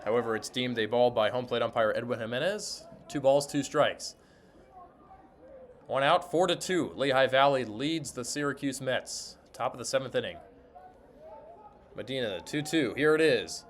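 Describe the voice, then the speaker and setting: A man's voice: mid-range voice, moderate pace, slight background noise. A man calling baseball play-by-play for radio in clipped, descriptive phrases. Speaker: sports broadcaster, interviewer and play-by-play announcer. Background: ambience of a sparsely filled ballpark.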